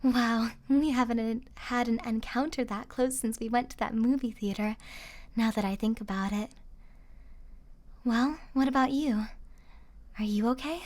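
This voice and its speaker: A woman's voice: fairly high pitch, fairly even intonation, a little slow, long pauses, darkish tone, natural voice. A woman playing a loyal, distraught young survivor of a zombie apocalypse in an ASMR roleplay, with an emotional, pleading delivery. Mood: relieved, tired.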